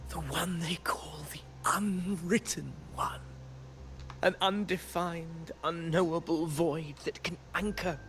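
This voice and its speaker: An actor playing a proud, disillusioned fallen hero in a video game, speaking in a dramatic, brooding monologue. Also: male voice, sits high, a bit bright. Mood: grave, intense.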